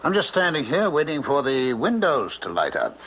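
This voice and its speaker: A skeptical male doctor, speaks with theatrical emphasis and sweeping intonation. He is stubborn and scoffing, whether narrating or in dialogue. The old radio recording is muffled, with clear noise throughout. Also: fairly high pitch, huge pitch swings, moderate pace. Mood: dry, skeptical. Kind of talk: old-time radio drama acting and narration. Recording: dull, noisy 1970s radio broadcast.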